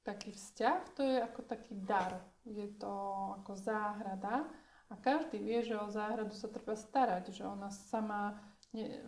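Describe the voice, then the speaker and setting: A woman's voice: mid-range voice, darkish tone. A woman working as an interpreter, translating each statement right after the speaker, with occasional hesitations. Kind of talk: consecutive interpreting.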